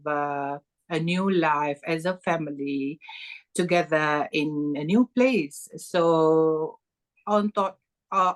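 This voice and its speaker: A middle-aged woman from Malaysia with a Malaysian accent, telling her own story as a podcast guest, halting with many fillers and restarts. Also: deep voice. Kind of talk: personal story in an interview.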